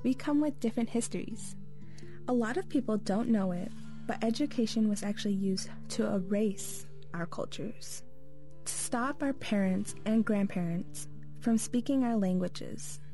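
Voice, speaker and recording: A woman hosting and narrating a radio documentary in a calm, measured storytelling voice. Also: mid-range voice, soft background music. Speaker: host and narrator.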